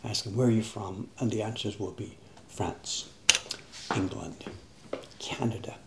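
A man's voice: fairly low pitch, steady tone, measured pace, heavy background noise. An older man hosting a casual live-stream chat, speaking emphatically and repeating himself for stress. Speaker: craftsman host of a live stream. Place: indoor workshop.

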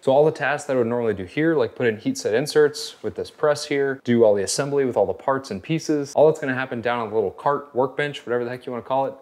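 A man in his thirties hosting a home workshop channel, explaining his projects in a brisk, upbeat, practical way on a lapel mic. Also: medium pitch, darkish tone. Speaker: woodworking and 3D printing channel host. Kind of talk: casual shop vlog narration. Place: home basement and garage workshop.

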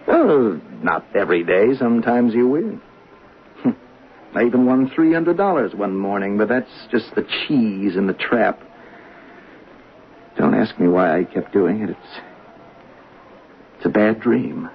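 An actor playing a desperate, defensive gambling addict accused of murder, halting and pleading, in 1970s radio drama style. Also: male voice, lowish voice, lively intonation. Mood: bitter, defeated. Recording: tinny band-limited noisy 1970s radio recording.

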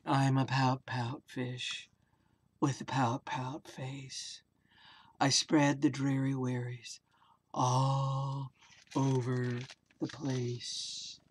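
Here is a sulky male storybook fish as played by a woman. The voice sits low and drags each word out slowly, mopey and resigned to gloom. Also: little pitch movement, a little hiss or hum, full character voice. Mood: dreary, gloomy. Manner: slow, drawn-out, mopey delivery.

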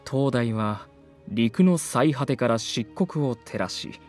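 A male actor playing a solemn, wistful chronicler, giving a measured dramatic prologue narration in a voice drama. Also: mid-range voice, lively intonation, darkish tone, soft background music.

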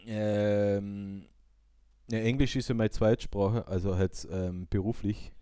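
A man with an Austrian accent chatting casually as a podcast co-host, halting and repeating himself as he searches for words. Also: lowish voice, steady tone, dark tone, clear, resonant tone, no room sound, clean studio sound. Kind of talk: casual chat.

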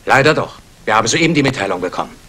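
An actor playing an excitable, urgent police subordinate in a 1960s crime radio play. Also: male voice, mid-range voice, racing, breathy edge. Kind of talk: 1960s German radio crime drama acting. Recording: noisy vintage radio recording.

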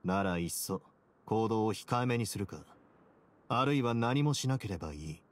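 An actor playing a composed, shrewd duke in a game, speaking in a cool, measured, authoritative way. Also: male voice, fairly low pitch, animated, lightly acted. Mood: calm, analytical. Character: composed, shrewd male duke in command of a fortress. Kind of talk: Japanese game voice acting.

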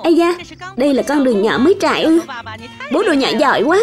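A woman playing a kindly, encouraging young mother in a cartoon dub, gentle and approving. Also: high voice, big pitch swings, quick pace. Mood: gently approving. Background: background music.

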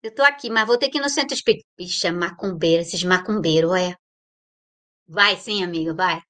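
A woman's voice: on the low side, fairly quick, sharp tone. A woman with a Brazilian Portuguese accent hosting a casual livestream, chatting playfully and greeting viewers by name. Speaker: livestream host.

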